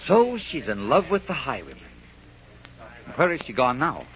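Dashing highwayman, swooping theatrical delivery. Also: huge pitch swings, moderate pace, a bit bright. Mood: suave, intrigued. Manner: suave, theatrical. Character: dashing, reckless gentleman highwayman in love. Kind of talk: 1940s radio romance acting. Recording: dull old radio recording with music.

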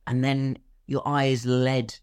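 A man's voice: medium pitch, little pitch movement, takes it slowly, clear, resonant tone, dry recording, clean studio sound. A British man talking casually as a podcast guest, explaining his craft and acting out imagined questions as he goes. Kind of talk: casual conversational explanation of filmmaking.

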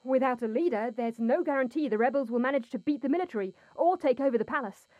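A woman's voice: sits high, fast talker, no echo, lo-fi sound, lightly acted. An actress playing an anxious young female rebel who speaks earnestly and with worry. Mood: worried. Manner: earnest, worried.

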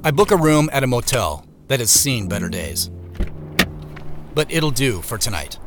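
An actor with an American accent playing a reflective man who narrates his road trip in a measured, literary audio drama style. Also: medium pitch, sing-song, over music, lightly acted. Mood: matter-of-fact storytelling.

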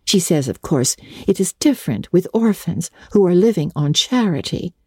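An earnest, imaginative schoolgirl played by a woman chatters away in a storybook reading. Her pitch swoops expressively as she delivers opinions with dramatic flourish. The sound is close and dry. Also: expressive, normal speed, darkish tone, breathy edge, no room sound. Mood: earnest, chatty. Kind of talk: dramatic audiobook reading of classic novel.

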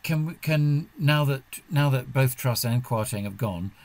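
A man hosting an informal discussion, asking questions in a halting, wry manner. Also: medium pitch, darkish tone. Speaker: podcast host.

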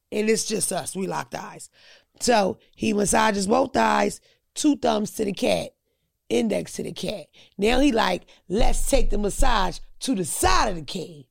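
A woman's voice: mid-range voice, creaky, no echo. A woman telling blunt, crude stories in a casual podcast chat, close to the mic.

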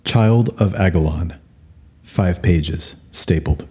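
A man playing a deadpan, wry narrator in an audio play, with a husky voice. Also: low-pitched, slow, exaggerated voice. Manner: deadpan, dry.

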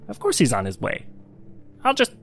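Super eager tour guide gal in an audio drama, chatty, voice bouncing all over the place. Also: female voice, very deep voice, normal speed, vocal fry, full character voice. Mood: eager, bubbly. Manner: chatty, animated, showy. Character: excitable young woman guide, eager and informal. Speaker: tour guide at a launch facility. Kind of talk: naturalistic audio drama acting.

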